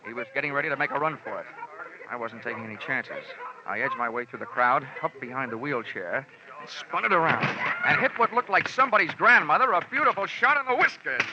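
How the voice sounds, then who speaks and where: A man's voice: mid-range voice, expressive, fairly quick, noisy background, natural voice. An actor playing a wry, hard-boiled insurance investigator narrating his case in 1940s radio acting. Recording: muffled old radio broadcast.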